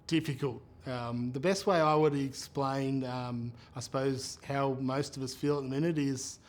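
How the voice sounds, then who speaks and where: A man's voice: medium pitch, steady tone, a little slow. A man with an Australian accent, an air traffic controller and union leader, answering an interview in a plain-spoken, somewhat hesitant way.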